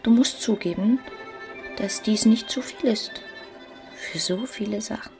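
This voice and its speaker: A woman's voice: mid-range voice, a little slow, creaky. An actress playing a passionate, evasive young married woman in a German radio play, coaxing and theatrical. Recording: music behind the voice.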